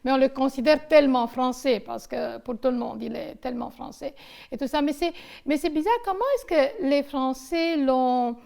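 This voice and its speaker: A woman's voice: high-pitched, moderate pace, vocal fry, a little mellow. A woman interviewing someone casually about film, talking in a rambling, hesitant way full of digressions.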